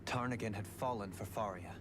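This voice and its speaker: A man playing a refined, melancholy young nobleman in a video game, speaking formally and with measured gravity. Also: on the low side, noisy background, natural voice. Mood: grave. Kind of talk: video game cutscene acting. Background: game music.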